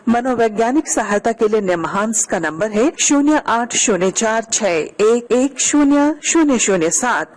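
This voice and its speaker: A woman reading a scripted regional radio news bulletin in a formal, measured manner. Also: mid-range voice, a little mellow, rough recording. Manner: formal, measured delivery of announcements. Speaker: news reader.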